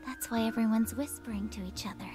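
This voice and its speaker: A woman voicing a timid, gentle, earnest teenage girl in a visual novel, hesitant and soft-spoken. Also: on the high side, fast, music in the background, natural voice.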